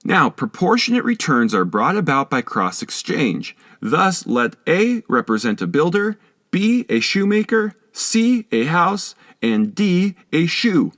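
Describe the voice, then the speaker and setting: Man reading with lively, varied intonation. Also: on the high side, measured pace, no echo, studio quality. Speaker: audiobook reader. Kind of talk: scripted narration, reading a philosophical text.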